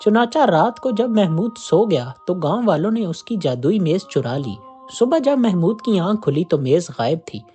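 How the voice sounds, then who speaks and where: A storyteller reading a scripted moral folk tale in an expressive way. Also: medium pitch, moderate pace, hardly any pauses, soft background music.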